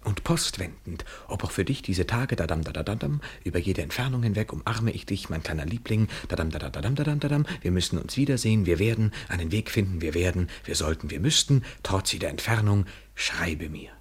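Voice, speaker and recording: Detached lover, low voice, slightly raspy, wry, mock-tender, intimate radio drama. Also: male voice, expressive, brisk, hardly any pauses, heavily acted. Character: detached young male lover, teasing his mistress bitterly.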